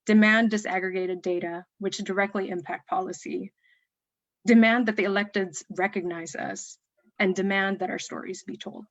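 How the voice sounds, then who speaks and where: A woman's voice: mid-range voice, fairly even intonation, moderate pace, dry recording, dull audio. Woman advocate, emphatic and firm, very dry close sound. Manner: firm, emphatic, listing demands. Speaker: panelist. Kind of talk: advocacy speech.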